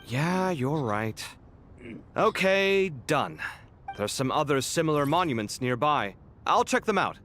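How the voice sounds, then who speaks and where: An actor playing a conscientious young scholar in a video game, expressive and theatrical, quick to grumble. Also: male voice, on the high side, a little hiss or hum. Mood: curious, businesslike. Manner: animated, theatrical. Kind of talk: video game dialogue acting.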